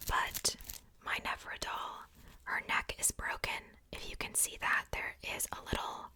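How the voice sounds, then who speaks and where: Young woman whispering ASMR, very bright hiss. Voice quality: breathy, unvoiced whisper. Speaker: ASMR video creator. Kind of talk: whispered ASMR, casual show-and-tell.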